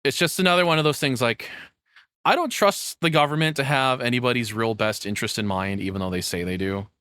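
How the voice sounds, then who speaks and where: A man's voice: medium pitch, a little fast, sharp tone, no room sound, clean studio sound. A man giving casual, rambling reaction commentary in a sarcastic, cynical manner with frequent swearing. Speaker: streamer reacting to a video.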